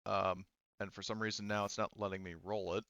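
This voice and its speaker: Low, bright-voiced man running a tabletop game. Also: flat delivery, a little fast, smooth, no echo, highs cut off. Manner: casual, sweary. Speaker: game master. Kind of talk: casual tabletop game narration and rulings.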